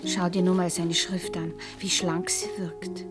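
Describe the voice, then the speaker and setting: A woman's voice: fairly low pitch, little pitch movement, a little slow, music in the background, close to an everyday voice. An actress in a radio play playing a romantic, touchy, unsure woman in her forties who speaks earnestly. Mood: smitten. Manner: earnest, easily hurt. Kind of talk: German radio drama dialogue.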